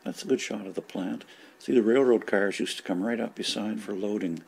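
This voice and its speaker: Low-pitched older man, from Canada, informal commentary, reminiscing over old footage. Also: fairly quick, vocal fry, darkish tone, husky. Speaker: local resident recalling the past.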